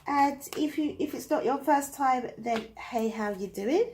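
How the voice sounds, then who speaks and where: A woman's voice: sits high, unhurried, darkish tone, a little hiss or hum. A woman with a British accent, reading tarot cards to camera in a chatty, thinking-aloud way, her nose blocked up from a cold. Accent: British English. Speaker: tarot reader.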